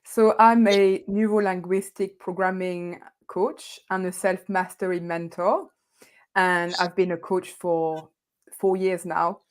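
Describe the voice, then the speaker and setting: A woman from France with a French accent, a coach speaking as an interview guest, animated and often laughing as she talks. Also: lowish voice, takes it slowly. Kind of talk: conversational interview.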